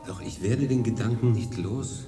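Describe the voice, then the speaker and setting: A man's voice: on the low side, normal speed, over music, natural voice. A man playing a thoughtful, inquisitive English consul in a radio drama, speaking in an earnest, reflective way. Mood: astonished, hesitant. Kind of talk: 1980s German radio drama acting. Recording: echoey room, distant from mic.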